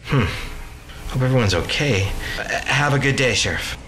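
A man's voice: medium pitch, animated, normal speed, bright, forceful tone, slight background noise. An American actor playing a protective, rattled middle-aged father in an audio drama, urgent and commanding when alarmed. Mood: subdued. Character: protective, rattled father facing an eerie mystery.

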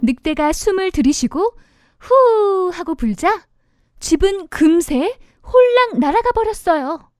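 Female children's storyteller: high voice, lively intonation, expressive, simple narration for little ones. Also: unhurried, no room sound.

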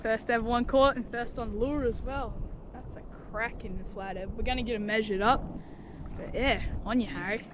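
A teenage boy with an Australian accent hosting a fishing vlog, chatting excitedly on a surf beach into an action camera. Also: very high voice, normal speed, dull audio. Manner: excited, shouts when fish are hooked. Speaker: fishing channel host. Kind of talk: casual vlog chat. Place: outdoors on a sandy surf beach. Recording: windy outdoor action-cam audio.

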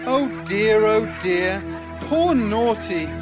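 A man's voice: high voice, lively intonation, takes it slowly. A man with a British accent playing a fussy, kindly children's storybook narrator in a game, theatrical and quaintly polite. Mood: dismayed, pitying. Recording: music under the voice.

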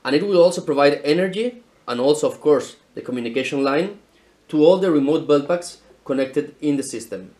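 A man's voice: fairly high pitch, brisk, a little mellow. A man in his thirties from Spain presenting equipment in a careful, explanatory scripted style, filmed in an indoor showroom with a camera mic at medium distance. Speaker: product presenter.

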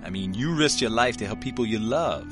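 A man plays a small comic sidekick dragon in an animated film. He talks in a self-deprecating, conversational way at a fairly low pitch, with music behind. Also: brisk, close to an everyday voice. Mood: dejected, confessing.

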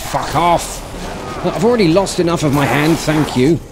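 A man's voice: a little high, unhurried, noisy background. A man in his thirties giving casual, exasperated, sweary gameplay commentary as he streams, close on the mic indoors. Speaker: video game streamer. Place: indoor room, seated at gaming setup. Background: game music and sound effects.

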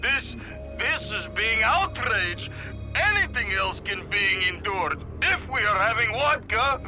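A gullible Soviet cosmonaut in a comic video game, with a Russian accent and broken English. The voice is high and slow, theatrically indignant, with music playing behind. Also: male voice, very animated, exaggerated voice. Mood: outraged resolve. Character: gullible, vodka-loving male Soviet cosmonaut.

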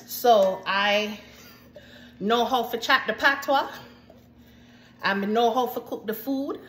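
A woman's voice: a little high, takes it slowly. Chatty American woman in an echoey kitchen. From United States, Jamaican household. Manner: chatty and warm, frequent asides to viewers. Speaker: home cooking show host. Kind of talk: casual baking tutorial. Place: home kitchen, indoors. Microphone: phone camera at arm's length. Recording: echoey kitchen with faint noise.

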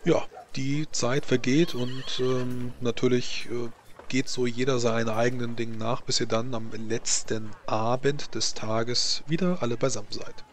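A man improvising narration as game master of a tabletop roleplaying game, haltingly searching for words. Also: mid-range voice, fairly even intonation.

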